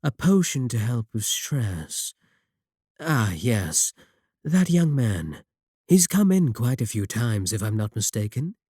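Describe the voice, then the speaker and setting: A man playing a courteous, manipulative warlock shopkeeper in a roleplay audio, coaxing and flattering his guest with polite, unhurried talk. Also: mid-range voice, slightly rough, no echo, clean studio sound, heavily acted. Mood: smooth, persuasive. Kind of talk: second-person roleplay audio acting.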